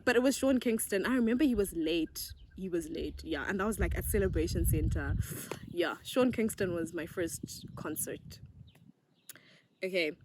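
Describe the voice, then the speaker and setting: A young woman with a Zimbabwean accent, chatting casually and answering viewer questions, bubbly and giggly. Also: medium pitch. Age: young adult. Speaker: YouTuber. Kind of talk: casual get-to-know-me chat.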